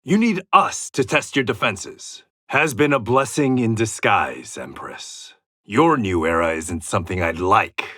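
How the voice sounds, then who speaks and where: A man's voice: on the low side, lively intonation, unhurried, sharp tone, dry recording, studio quality, exaggerated voice. An actor playing a proud, stern blind swordsman in a fighting game, with clipped, taunting and wry delivery. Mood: wry, guarded. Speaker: video game fighter character. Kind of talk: dramatic fighting game voice lines.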